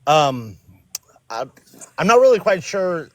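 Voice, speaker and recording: A man in his forties from Florida calling into a live stream, speaking in a measured, reasoned way. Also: on the high side, sing-song, fairly bright tone, no room sound. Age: 40s. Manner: measured, reasoned, stalling a little. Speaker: caller.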